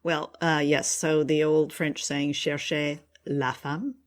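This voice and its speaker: Measured, wry man co-hosting a podcast. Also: fairly high pitch, level tone. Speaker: podcast co-host. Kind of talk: conversational discussion.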